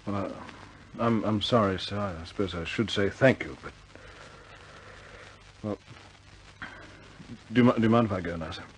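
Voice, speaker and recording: An actor playing a proud young RAF officer in a 1950s British war film, with a clipped British accent and an earnest, stammering, respectful way of speaking. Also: male voice, lowish voice, normal speed, long pauses, a little hiss or hum, lightly acted, rough recording. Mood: resigned, subdued.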